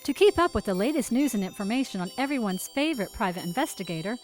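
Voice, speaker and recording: Female announcer for scripted credits, expressive intonation, music and chimes underneath. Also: on the high side, fast talker.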